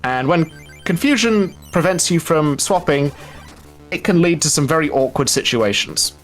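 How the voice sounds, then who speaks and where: A man's voice: a little high, lively intonation, normal speed, poor audio. Man explaining gameplay over music. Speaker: speedrun commentator. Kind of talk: live gameplay commentary. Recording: music behind the voice.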